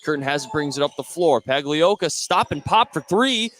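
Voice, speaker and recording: A man's voice: a little high, lively intonation, moderate pace, fairly bright tone, a little hiss or hum. An American man doing play-by-play radio commentary of a basketball game, following the action in short running phrases. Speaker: play-by-play sports announcer. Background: basketball game in a gym.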